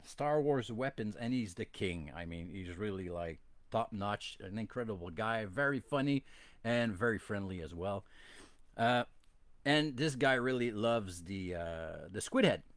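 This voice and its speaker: A man's voice: medium pitch, no room sound. A man from Quebec with a French-Canadian accent, a native French speaker, hosting a casual bilingual live stream in a chatty, rambling, self-deprecating way. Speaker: podcast host.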